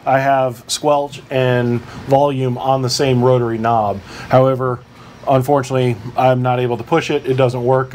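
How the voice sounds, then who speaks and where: A man's voice: mid-range voice, darkish tone. Man giving an informal tutorial over background noise. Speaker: instructor presenting a boat radio guide. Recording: clear background ambient noise.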